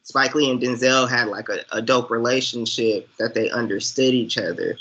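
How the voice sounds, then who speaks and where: An American man co-hosting a casual movie chat, talking in an animated, slangy way. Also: mid-range voice, normal speed, low-quality recording. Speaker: co-host.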